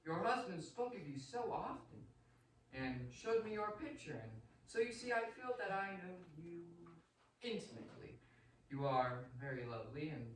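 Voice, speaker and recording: A man playing a courtly, sinister vampire nobleman in a radio play, speaking formally and with deliberate, menacing politeness. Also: high-pitched, very animated, a bit bright. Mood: suavely sinister. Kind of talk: theatrical radio drama acting. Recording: very echoey room, distant mic, faint noise.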